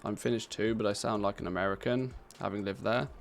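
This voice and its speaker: A man's voice: on the low side, steady tone, a little fast, gravelly. A British man chatting casually on a live game stream, reading out and answering viewers' questions with easy laughter. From Britain.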